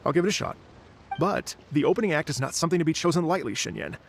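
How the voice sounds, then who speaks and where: An earnest gentleman musician for a fantasy game. His voice is somewhat high with a creaky edge, and he speaks formally and cautiously, with music softly behind him. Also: animated, fast. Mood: resolved, exacting. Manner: courteous, hesitant, formal. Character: polite, earnest foreign musician and festival organizer, cautious. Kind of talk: video game voice acting.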